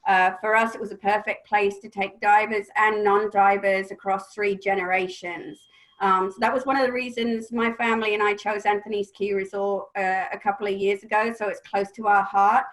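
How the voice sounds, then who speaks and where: A woman hosting an online event, introducing guests in a chatty, relaxed way with a few uhs. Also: mid-range voice, moderate pace, low-quality recording. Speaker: webinar host. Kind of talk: casual introduction.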